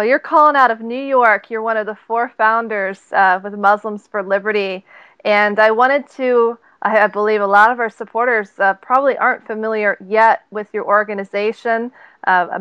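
A woman's voice: medium pitch, fairly even intonation, normal speed, a bit bright, clear, resonant tone, no echo, lo-fi sound. A woman hosting an interview show, talking in a chatty way with frequent restarts. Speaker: host.

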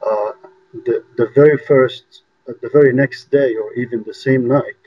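A man from Egypt, a guest on an interview show, talking by phone in a halting way with many fillers. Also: mid-range voice, steady tone. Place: home in New York. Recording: dull lo-fi phone line.

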